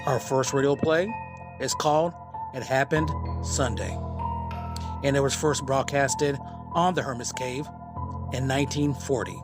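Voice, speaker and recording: A middle-aged man hosting a podcast, giving a scripted introduction in measured, separated phrases. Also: medium pitch, steady tone. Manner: measured, deliberate, pausing between phrases. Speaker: podcast host. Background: prominent music.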